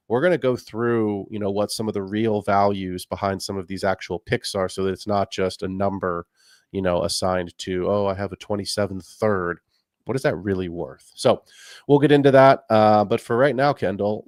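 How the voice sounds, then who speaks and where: A man's voice: lowish voice, a little mellow, no room sound, clean studio sound. A man hosting a fantasy football podcast, talking in a chatty, rambling and friendly way. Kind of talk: casual podcast talk.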